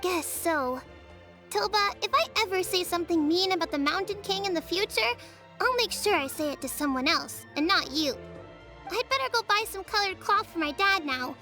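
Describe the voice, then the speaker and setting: A woman's voice: very high voice, animated, normal speed, sharp tone, soft background music, full character voice. A woman voicing an earnest, slightly sulky but well-meaning young child in a video game, with a childlike voice. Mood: reluctant. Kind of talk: video game character acting.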